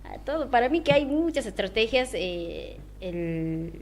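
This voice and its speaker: A woman giving her opinions in a lively, searching way on a radio discussion. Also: on the high side, a little slow, a little hiss or hum. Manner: animated, opinionated, searching for words. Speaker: radio host.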